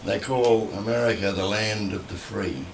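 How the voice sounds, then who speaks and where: An older man with an Australian accent and a croaky voice left over from flu, chatting bluntly and swearing freely in a small home room into a vocal mic on a stand. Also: fairly low pitch, fairly even intonation, normal speed, noisy background. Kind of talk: casual rambling monologue. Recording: roomy, from a distance.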